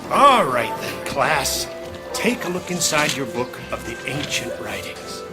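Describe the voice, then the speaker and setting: An actor playing an eager schoolteacher instructing a class in an audio drama, projecting over music. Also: male voice, mid-range voice, very animated, unhurried. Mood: encouraging. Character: bright, eager schoolteacher addressing a young class. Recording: music under the voice.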